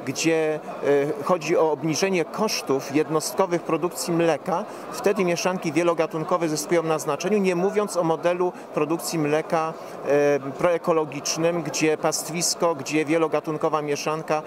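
A middle-aged man giving formal, measured expert explanations as an interviewed professor. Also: fairly high pitch, steady tone. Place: interview stand at a busy indoor forum. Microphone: handheld reporter microphone held out to him. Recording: busy trade event crowd noise.

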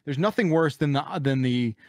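A man's voice: mid-range voice, expressive, normal speed, dry recording, studio quality. A man hosting a comedic panel chat in a casual, rambling way with some swearing. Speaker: podcast host.

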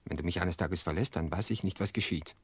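Deep-voiced, insistent suitor. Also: male voice, brisk, slightly rough, no room sound. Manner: urgent, persuasive. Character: earnest, insistent well-off suitor in his prime. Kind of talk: radio play acting.

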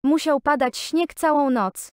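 A woman reads short prompt sentences briskly and plainly. Her voice sits high, and the recording is dry with no room sound. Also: darkish tone, no room sound. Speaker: translation reader.

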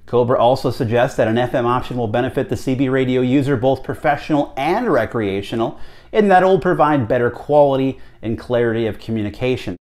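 A man's voice: mid-range voice, brisk, darkish tone. A man in his thirties with an American accent giving conversational, emphatic commentary to camera, often posing rhetorical questions. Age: 30s. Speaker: radio hobbyist vlog host. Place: small home office, indoors.

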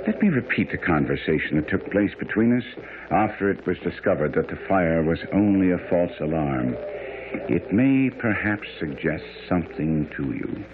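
An actor playing a confident, sharp-witted gentleman detective in a radio drama, speaking in a formal, measured, explanatory way. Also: sits low, lively intonation. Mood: satisfied, pondering. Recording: muffled, noisy vintage radio broadcast.

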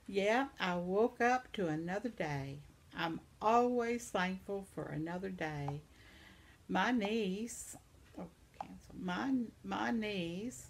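A woman's voice: medium pitch, creaky. An older woman, chatting at home to her viewers as she reads their comments aloud, sympathetic and reacting to each one. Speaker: vlogger. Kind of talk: casual chat reading viewer comments. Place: living room at home, seated in armchair.

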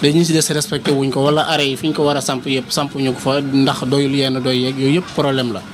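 A man's voice: medium pitch, flat delivery, a little fast, music in the background. A young man who works on the buses, explaining his job earnestly in a street interview inside a bus, speaking into a handheld reporter mic. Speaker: bus worker. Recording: bus noise behind.

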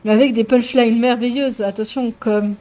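A woman's voice: on the high side, steady tone, normal speed, warm tone, faint music behind. A young woman co-hosting a live stream, teasing and joking in casual chat. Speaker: stream co-host.

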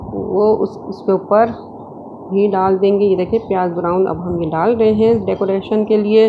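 Woman narrating a recipe, muffled noisy recording. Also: medium pitch. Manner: repetitive, explains each action as she does it. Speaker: home cook hosting a recipe video. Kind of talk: step-by-step cooking narration. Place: indoor home kitchen. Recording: dull lo-fi phone-like home recording, clear background noise.

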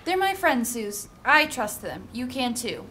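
A young woman playing an earnest, caring, impulsive college student in an audio drama, with an eager, expressive delivery. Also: fairly high pitch, unhurried, exaggerated voice. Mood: gentle. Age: young adult. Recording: faint background noise.

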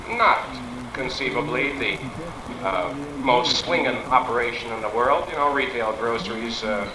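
A man giving a measured, articulate speech at a business meeting, on 1960s reel-to-reel tape. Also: medium pitch, roomy sound, noisy background. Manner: measured, articulate, formal wording. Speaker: business speaker. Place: meeting room.

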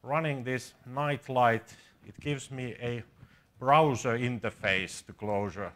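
A man's voice: mid-range voice, slow pace, a bit bright. A man in his forties with a Finnish accent, giving an informal, matter-of-fact live coding workshop as a conference speaker. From Finland. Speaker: conference speaker and programming instructor. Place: small conference seminar room with seated audience.

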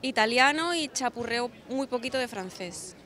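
Young woman chatting into a street mic, slightly high voice. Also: lively intonation, normal speed, pushed and bright. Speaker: passerby. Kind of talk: street interview answer. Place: city street outdoors. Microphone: handheld mic.

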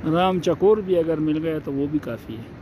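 A man with a fairly high voice narrates his hike as he walks a rocky mountain trail, pointing things out in a casual, chatty way. The handheld phone mic picks up steady outdoor wind noise. He sounds like someone filming himself on the move. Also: moderate pace. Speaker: vlogger. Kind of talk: casual hiking narration to camera.

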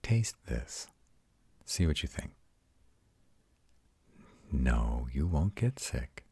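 A man playing a proud but tender vampire lover in an intimate comfort roleplay, speaking in a soothing, reassuring way with a breathy, husky voice. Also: very deep voice, sing-song, extremely slow, warm tone, exaggerated voice. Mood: soothing, patient. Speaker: voice actor in a roleplay audio.

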